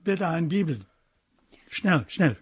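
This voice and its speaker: A man's voice: on the high side, expressive, slow, husky, no room sound, full character voice. A man playing a stern, exasperated ship captain in a radio play, curt and authoritative. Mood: officious. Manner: curt, authoritative, barking orders.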